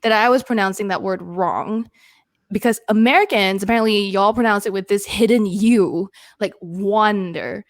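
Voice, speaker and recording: A woman's voice: medium pitch, moderate pace, no echo, clean studio sound. A woman hosting a podcast, chatting casually and laughing often as she spells words out. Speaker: podcast host.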